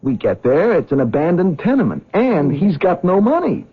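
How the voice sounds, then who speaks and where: An earnest cab driver. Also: male voice, on the high side, huge pitch swings, normal speed, husky, full character voice. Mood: telling story. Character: anxious honest male cab driver. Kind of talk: 1970s radio drama acting. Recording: dull old radio broadcast.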